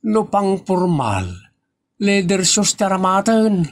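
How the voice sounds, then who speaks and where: A man acting the part of a fussy husband in a homemade comedy skit, complaining and teasing in quick bursts. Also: high voice, animated, heavily acted. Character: fussy husband, protective of his leather shoes.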